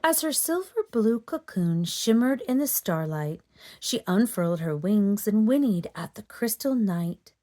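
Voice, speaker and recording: A woman storyteller narrating a children's book in an expressive, dramatic read-aloud manner. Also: mid-range voice, a little slow. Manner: dramatic, animated delivery for children.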